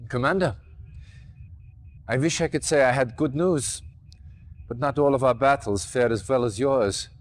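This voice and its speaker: An actor playing a grave, commanding older army general, speaking formally and deliberately in a video game cutscene. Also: male voice, mid-range voice, natural voice. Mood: somber, determined. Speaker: military commander. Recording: noisy old game video audio.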